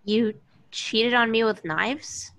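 A woman's voice: a little high, takes it slowly, a bit bright. A woman reading the role of a guarded, weary young love interest at an amateur table read, understated and wry. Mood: indignant. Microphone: video call.

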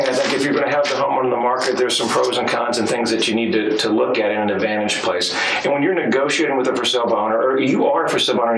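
A man in his thirties with a slightly hoarse, froggy voice, hosting a live radio talk show in a conversational, upbeat sales-style way. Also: medium pitch. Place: small radio studio, indoors. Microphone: studio mic on boom, wearing headphones. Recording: roomy, distant studio sound with noise.

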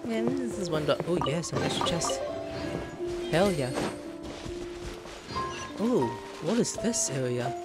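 A man's voice: fairly high pitch, sing-song, takes it slowly, long pauses. A man doing casual, playful gameplay commentary, chatting to himself and the game. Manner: playful, talking to self and game. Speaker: video game streamer. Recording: music and game sound behind voice.

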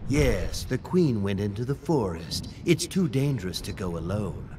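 A man's voice: on the low side, big pitch swings, moderate pace, distant mic, noisy background. A man voicing a grave, protective fantasy gatekeeper who talks in a formal, portentous way.

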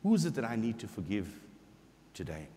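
Middle-aged priest preaching in a reverberant church. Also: male voice, fairly low pitch, slight background noise. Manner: expressive preaching, voicing scripture quotes, slow emphatic pauses. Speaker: parish priest. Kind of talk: sermon. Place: large church sanctuary before the altar.

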